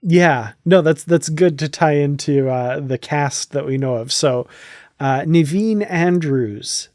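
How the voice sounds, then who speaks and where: A man's voice: on the high side, slow pace, darkish tone, no room sound, studio quality. A man hosting a casual fan podcast discussion, chatty and thinking aloud as he goes. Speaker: podcast host.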